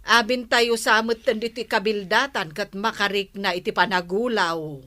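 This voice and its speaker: A woman playing a weary, nagging village woman in a comic radio drama, with drawn-out, exasperated delivery. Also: medium pitch, pushed and bright, dry recording, natural voice. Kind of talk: comedic radio drama acting.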